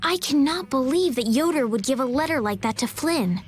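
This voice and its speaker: Well-bred anime game heroine: high, lilting, earnest, polite, a touch anxious. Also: female voice, lively intonation, normal speed. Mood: worried, earnest. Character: gentle, anxious, well-bred young noblewoman. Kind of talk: anime video game voice acting. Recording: background music behind the voice.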